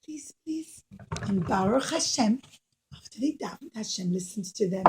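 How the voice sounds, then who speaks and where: A woman telling a story to children as a puppeteer, in simple, expressive, encouraging teacher talk. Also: medium pitch, normal speed, background noise.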